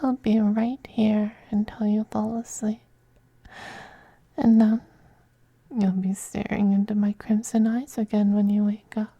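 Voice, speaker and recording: An actor voicing a possessive, doting male vampire noble, a femboy lover, in a soft, breathy, whispered ASMR roleplay with a coaxing, tenderly domineering manner. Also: mid-range voice, level tone, unhurried, long pauses, no room sound, muffled recording. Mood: tender, soothing.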